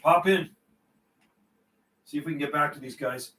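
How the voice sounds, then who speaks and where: A man's voice: a little high, moderate pace, pushed and bright, slight background noise. A friendly, informal man from Canada doing casual live radio chat and call-outs as a CB operator. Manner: friendly, informal, repeats call signs and channel numbers.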